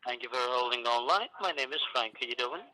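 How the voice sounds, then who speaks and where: A man from Florida selling insurance over the phone in a brisk, businesslike way. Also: mid-range voice, bright, forceful tone. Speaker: insurance salesman. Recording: tinny phone line.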